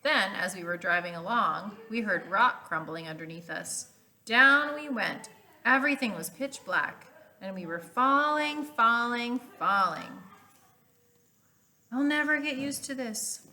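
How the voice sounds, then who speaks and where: A woman reads a picture book aloud for children in a very bright, forward voice at an average pitch. She is expressive and instructive, stepping out of the text to explain words as a teacher would. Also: unhurried.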